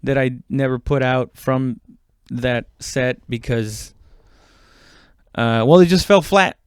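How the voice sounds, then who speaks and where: A man chatting casually and haltingly as a comedian on his own podcast, swearing freely. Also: mid-range voice, expressive, clear, resonant tone, dry recording, clean studio sound. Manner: informal, halting, heavy swearing. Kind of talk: casual rambling solo chat.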